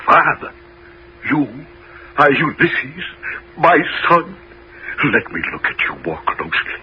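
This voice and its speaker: A man's voice: fairly high pitch, very animated, slow pace. An actor playing an old Greek father and former king in a 1970s radio drama, earnest and theatrical. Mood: overjoyed, tearful. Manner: theatrical, earnest, swelling with feeling. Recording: muffled, hissy 1970s radio recording.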